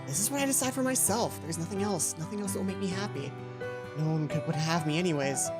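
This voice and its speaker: A woman acts out a lonely academy schoolgirl from a visual novel game. She is earnest and lovestruck, pleading in a hesitant way over clear music. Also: on the low side, animated, fast, lightly acted. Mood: stubborn, wistful.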